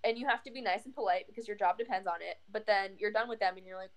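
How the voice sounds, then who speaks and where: A woman's voice: medium pitch, racing, a crowd in the background. A young woman co-hosting a casual podcast, ranting bluntly with frequent restarts. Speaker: podcast co-host. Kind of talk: casual chat.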